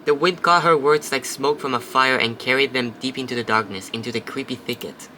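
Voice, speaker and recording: A man's voice: medium pitch, a little fast, pushed and bright, a little hiss or hum. A man casually playing a story game, reading its narration aloud and chatting between lines, now and then swearing. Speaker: let's play host.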